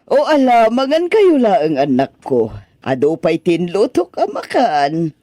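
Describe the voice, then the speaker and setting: A man's voice: sits high, huge pitch swings, measured pace, dark tone, no room sound, heavily acted. A man playing a doting, motherly woman in a radio drama, fussing affectionately over children. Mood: cheerful, doting.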